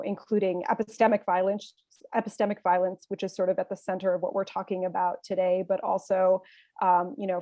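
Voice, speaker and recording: A woman's voice: fairly low pitch, mellow, dry recording. A woman professor on a panel, giving a long, earnest answer with many fillers over a video call. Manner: earnest, expansive, filler-laden.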